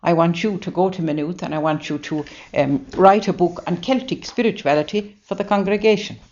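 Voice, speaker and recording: An older woman from Ireland with an Irish accent and a low voice tells her life story to an interviewer. She is earnest and halting, often restarting her sentences. The recording is dull and lo-fi. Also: darkish tone. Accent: Irish, Waterford. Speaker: nun. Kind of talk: interview reminiscence.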